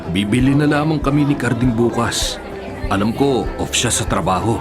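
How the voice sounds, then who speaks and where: A man plays a soft-hearted, mild-mannered Filipino husband. His pitch is average, and the radio drama scene has clear music and outdoor bustle behind him. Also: expressive, normal speed, crowd noise, natural voice. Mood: mild, apologetic. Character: generous, mild-mannered husband who lends to relatives.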